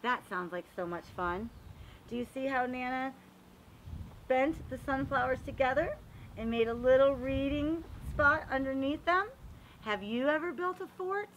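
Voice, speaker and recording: A woman reading a picture book aloud to young children, animated and expressive, pausing to explain and ask questions. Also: sits high, a little hiss or hum, low-quality recording. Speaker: read-aloud storyteller for children.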